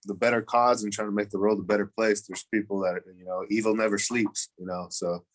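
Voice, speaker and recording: Low, hesitant podcast guest. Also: male voice, flat delivery. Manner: measured, hesitant, many uhs. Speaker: podcast co-host. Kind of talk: casual sports podcast chat.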